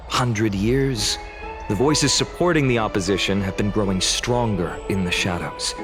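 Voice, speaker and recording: An actor playing a courteous, earnest young scholar-warrior in a video game, speaking in a formal, measured, explanatory way. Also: male voice, lowish voice, natural voice. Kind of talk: scripted video game dialogue. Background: background music.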